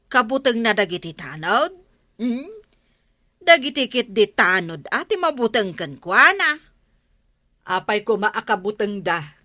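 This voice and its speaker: Woman acting a bright-voiced, fretful village woman. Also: mid-range voice. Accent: Ilocano. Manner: complaining. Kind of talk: Ilocano comedy radio drama acting.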